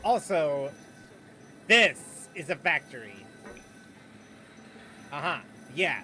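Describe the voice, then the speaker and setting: Sarcastic gaming host, high and lively. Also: male voice, takes it slowly, faint music behind. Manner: sarcastic, incredulous. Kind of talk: let's-play commentary.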